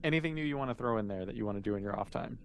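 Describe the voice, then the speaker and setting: A man's voice: fairly low pitch, racing, creaky, smooth. A man acting as game master, casually improvising narration for a tabletop role-playing game and thinking aloud as he goes.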